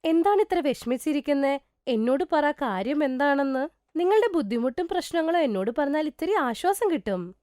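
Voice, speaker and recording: Lady doing sweet wronged orphan girl in her youth, cartoon story, high pitched, plaintive, pitch all over the place. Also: lively intonation, brisk, darkish tone, no echo, clean studio sound. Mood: caring. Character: gentle, wronged young orphaned woman, eager helper. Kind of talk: acted dialogue in a cartoon moral story.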